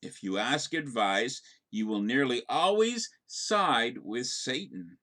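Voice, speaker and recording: A man, a pastor, reading a scripted daily devotional with deliberate, measured phrasing. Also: medium pitch, big pitch swings, a bit bright.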